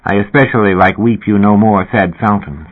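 A reserved, stubborn man answers in short, firm phrases, read by a male narrator. The voice is low and quiet. It sounds thin and tinny, like a phone line. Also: brisk, natural voice.

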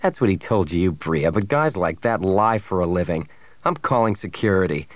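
A man's voice: deep voice, expressive, moderate pace, no echo. A man voicing a hot-headed, suspicious grown son, agitated and insistent, in a story. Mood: scornful.

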